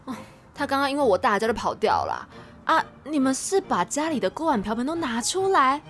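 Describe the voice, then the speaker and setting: A young pianist in an audio drama acted by a woman, expressive and easily exasperated. Her voice sits fairly high and has a Taiwanese Mandarin accent, with quiet music behind. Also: brisk, full character voice. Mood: surprised.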